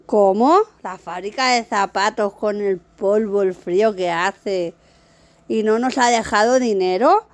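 A woman from Spain playing a money-minded, sharp-tongued sister in a radio soap, accusing and complaining. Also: mid-range voice, measured pace, no echo, natural voice. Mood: dismayed. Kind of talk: amateur radio soap acting.

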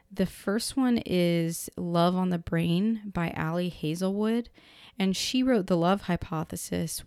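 A woman hosting a casual book podcast, chatty and rambling, her voice hoarse from being sick. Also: fairly low pitch, a little mellow, dry recording, clean studio sound. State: hoarse from illness. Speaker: podcast host.

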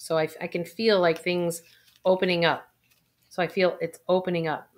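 A woman coaching viewers through a guided intuition exercise, with soft sighs and a calm, unhurried delivery. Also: lowish voice. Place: indoors, recording a video.

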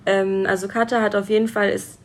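A woman's voice: medium pitch, level tone, a little fast. A young woman hosting a casual interview podcast from a guest's home, friendly, with many fillers and affirming hums. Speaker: podcast host. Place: guest's home in a city flat.

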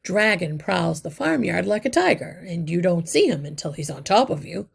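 A woman reading aloud and voicing a brave, practical young male rat who explains things calmly. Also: on the low side, normal speed, gravelly, slightly rough, heavily acted. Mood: explaining. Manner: calm, matter-of-fact explaining.

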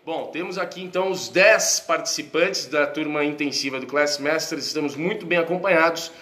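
A man's voice: a little high, bright, forceful tone. A man co-hosting a casual group podcast, upbeat and jokey, introducing guests. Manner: upbeat, jokey, quick to laugh. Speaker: podcast co-host.

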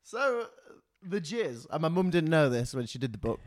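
A man's voice: on the high side, big pitch swings, moderate pace, no echo, studio quality. A British man in his late twenties, co-hosting a podcast with casual comedic banter. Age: late 20s. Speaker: podcast co-host.

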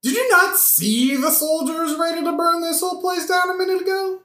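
A woman's voice: very high voice, moderate pace, fairly bright tone. A woman playing a hot-headed, foul-mouthed young male avenger in a satirical audio play, brash and blustering. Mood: vengeful. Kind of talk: satirical modern-language audio play acting. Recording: roomy, echoing audio-play recording.

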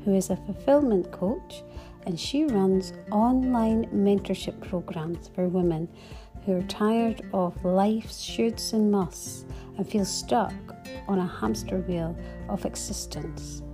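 A woman's voice: mid-range voice, slow pace, dark tone, soft background music. A woman hosting a podcast, introducing and interviewing a guest in an encouraging way. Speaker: podcast host.

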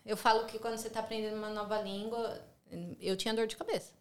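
A woman's voice: on the high side, level tone, fast. A woman from São Paulo with a São Paulo accent, a podcast guest talking casually and chattily, often tagging her sentences with questions. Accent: Brazilian Portuguese, São Paulo. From São Paulo, Brazil. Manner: chatty, frequent tag questions. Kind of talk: casual conversational interview. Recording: roomy echo.

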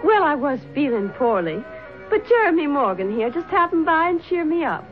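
High-voiced flirtatious colonial belle. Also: female voice, very animated, normal speed, soft background music. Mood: gracious, coy. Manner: coy, airy, sprightly. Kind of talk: old-time radio drama acting. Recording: tinny old radio recording.

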